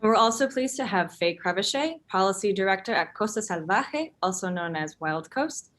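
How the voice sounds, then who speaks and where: Woman reporter hosting a town hall over video call, medium pitch, informal event intro. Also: lively intonation, normal speed, fairly bright tone.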